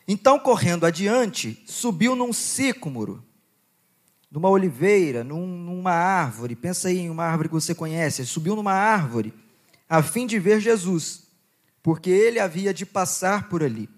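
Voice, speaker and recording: A man's voice: lively intonation, moderate pace. Man with a Goiás accent preaching. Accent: Goiás Brazilian Portuguese. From Goiás, Brazil. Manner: chatty and joking with the audience, quieter and lower when praying. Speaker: preacher at a youth conference. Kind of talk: sermon with Bible reading and prayer.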